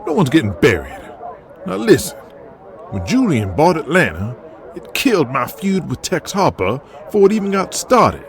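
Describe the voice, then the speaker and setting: Man plays promoter in a radio-play wrestling drama: higher, swooping, folksy pitchman, drawling. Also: huge pitch swings, normal speed, a faint crowd. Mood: urgent, persuading. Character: folksy Southern male wrestling booker, excitable, persuasive schemer. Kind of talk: audio drama character acting.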